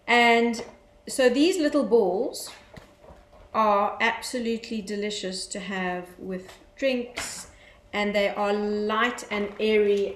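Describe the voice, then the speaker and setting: Woman chatting in an echoey kitchen. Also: mid-range voice. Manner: animated storytelling with frequent fillers and laughs. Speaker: guest, cookbook author. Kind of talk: casual chat on a live stream. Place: home kitchen, indoors. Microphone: webcam on a video call. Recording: echoey kitchen with faint noise.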